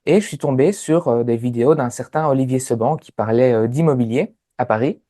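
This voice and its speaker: A man's voice: medium pitch, a little fast, dark tone. A man in his thirties with a Sicilian Italian accent, telling his story as an interview guest, with many hesitant fillers. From Sicily, Italy. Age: 30s. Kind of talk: relaxed personal story.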